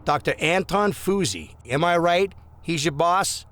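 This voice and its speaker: Crooked small-town cop played by a male actor: higher-set, very animated voice, smooth bully, mock-friendly pressure, audio drama. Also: unhurried. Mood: mock-friendly menace.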